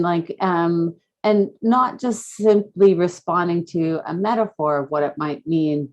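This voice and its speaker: A woman with a slightly low voice speaks as a guest in a casual, reflective discussion. She feels her way through her thoughts haltingly, searching for the right word. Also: darkish tone. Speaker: art gallery director and curator, guest speaker. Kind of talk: casual reflective panel talk.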